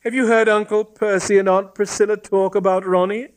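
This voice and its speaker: A woman's voice: medium pitch, steady tone, brisk, smooth, pitched above the performer's own voice, natural voice. A man voicing an earnest, naive young woman with a plaintive, innocent manner in a comic story. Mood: doubtful.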